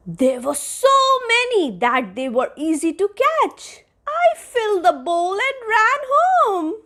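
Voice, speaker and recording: A woman narrates a story for small children with careful, teacherly phrasing. Her voice sits extremely high and rises and falls in big sweeps. She reads very slowly, giving each short phrase its own space. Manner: deliberate, expressive, teacherly phrasing. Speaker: storyteller reading aloud to young children. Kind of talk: children's read-aloud narration.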